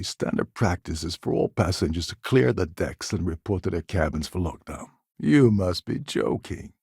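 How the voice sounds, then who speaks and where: An actor playing a weary, wry older space pirate posing as a ship captain in a video game, gravelly and husky, with a sardonic, conspiratorial manner. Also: male voice, rumbling low, a little mellow, no echo, clean studio sound, exaggerated voice. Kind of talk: video game character dialogue.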